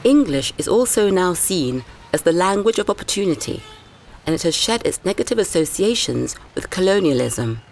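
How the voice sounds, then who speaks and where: Lively scripted news narration over street noise. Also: medium pitch, normal speed, creaky. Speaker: reporter.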